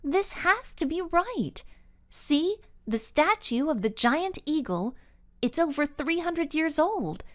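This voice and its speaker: Woman as young girl, high-pitched, eager, exclaiming, easily frustrated, animated storybook character. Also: takes it slowly, full character voice.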